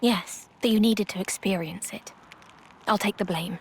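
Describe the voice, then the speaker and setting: An actress playing a composed, wise and formal travelling companion in a video game cutscene, speaking in measured, articulate phrases. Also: female voice, medium pitch, a little hiss or hum, lightly acted. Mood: quiet, resigned.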